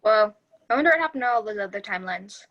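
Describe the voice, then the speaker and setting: A woman's voice: medium pitch, animated, fast talker, muffled recording, lightly acted. A woman playing a matter-of-fact, geeky young man in an amateur sitcom table read. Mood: knowing.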